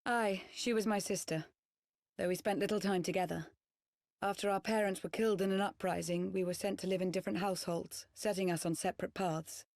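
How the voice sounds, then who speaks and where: A woman playing a composed, earnest ex-spy turned loyal knight in a video game cutscene, speaking in formal, measured, archaic phrasing. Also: medium pitch, steady tone, a little mellow, no echo, clean studio sound, close to an everyday voice. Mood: solemn, confessional.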